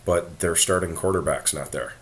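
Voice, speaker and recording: A very deep-voiced man with a hoarse, worn voice hosts a casual sports chat. He keeps it laid-back, joking with his co-host as he runs through the games. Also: normal speed, slight background noise. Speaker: podcast host.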